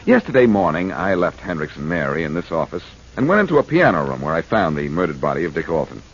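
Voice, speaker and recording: An actor playing a confident, wisecracking amateur detective in a 1940s radio drama, speaking in a glib, theatrical way. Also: male voice, deep voice, big pitch swings, fast talker, husky, exaggerated voice. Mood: dramatic. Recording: muffled, noisy 1940s radio recording.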